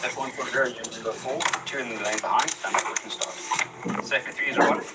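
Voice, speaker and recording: A man's voice: mid-range voice, steady tone, fast. A man working as an air traffic controller, speaking clipped procedural phrases over radio. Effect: radio transmission. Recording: distant radio audio under loud music.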